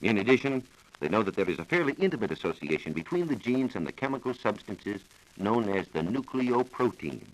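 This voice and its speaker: An American man giving a scripted science talk on 1940s radio, explaining in a measured, formal manner. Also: on the low side, very animated, low-quality recording. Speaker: science reporter explaining research. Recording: old 1940s radio broadcast recording.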